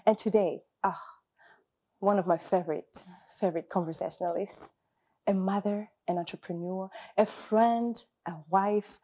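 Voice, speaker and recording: A woman's voice: mid-range voice, steady tone, moderate pace, warm tone. A woman hosting a talk show in a studio, opening with emphatic, encouraging words of gratitude and faith before she welcomes her guest. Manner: encouraging, emphatic, with spiritual wording. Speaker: host.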